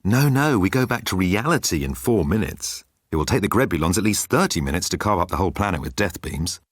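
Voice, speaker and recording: A man's voice: low-pitched, expressive, fairly quick, husky, no room sound, clean studio sound. A man voicing a cocky, breezy male alien hitchhiker in an audiobook, with a jaunty and slangy delivery. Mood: jovial.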